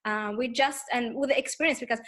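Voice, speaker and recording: A woman's voice: a little high, brisk, fairly bright tone. A woman from Tunisia whose first language is Arabic, a teacher talking casually in English with many restarts and fillers. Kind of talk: casual conversation.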